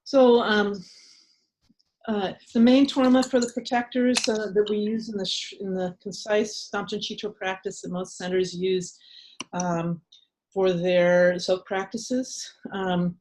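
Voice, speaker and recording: A woman's voice: mid-range voice, level tone, measured pace, darkish tone, a little hiss or hum, poor audio. Older woman teaching from home. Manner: chatty, many ums and restarts. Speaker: meditation teacher leading a workshop. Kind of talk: casual online workshop talk. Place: home shrine room. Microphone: webcam.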